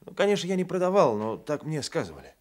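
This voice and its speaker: An actor playing a sly, hesitant, folksy fur dealer in a radio drama. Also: male voice, fairly high pitch, huge pitch swings, quick pace. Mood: coy, hedging. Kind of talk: Soviet radio drama acting.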